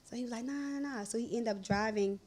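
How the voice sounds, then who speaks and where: A woman's voice: on the high side, quick pace. A woman in her thirties, a podcast guest, telling stories in casual, profane chat. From Baltimore. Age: 30s. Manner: animated, profane, laughing storyteller.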